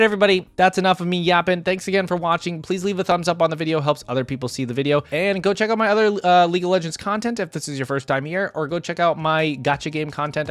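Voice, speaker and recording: A man hosting a reaction video, talking casually to his viewers and rambling as he thinks aloud. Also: fairly high pitch. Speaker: YouTube reaction creator and host.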